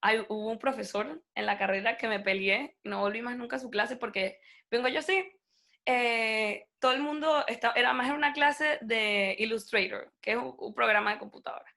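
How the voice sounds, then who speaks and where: A young woman, a native Spanish speaker, talking as an interview guest in a lively, casual way and acting out the people in her story. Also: on the high side, normal speed, vocal fry.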